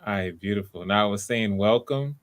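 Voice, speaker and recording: Male host and coach, fairly deep voice, easygoing talk, supportive and reassuring to performers. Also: a little fast, a bit bright. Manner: encouraging, reassuring. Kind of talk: casual spoken coaching. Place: live online video call.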